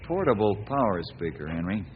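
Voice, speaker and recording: An actor playing a brave, devout, wry ranger hero in an old time radio western. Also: male voice, on the low side, big pitch swings, brisk. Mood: confident. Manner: wry, reassuring, homespun. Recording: muffled, noisy old radio recording.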